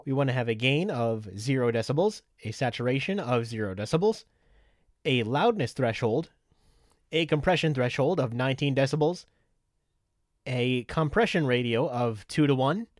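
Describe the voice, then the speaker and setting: Male tutorial presenter. Methodical, step-by-step instruction, mid-pitched, recorded dry and close. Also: expressive, moderate pace, pauses often, no echo. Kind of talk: step-by-step software tutorial narration.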